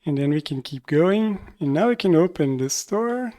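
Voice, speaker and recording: A man in his thirties doing casual, low-key live commentary on a game, thinking aloud as he plays. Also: expressive, normal speed, warm tone. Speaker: streamer and game developer.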